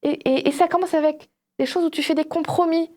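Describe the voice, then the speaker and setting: High-voiced woman in casual religious discussion. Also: brisk. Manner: animated storytelling, acts out imagined quotes. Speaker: panelist on a religious discussion show. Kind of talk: casual explanatory Bible discussion.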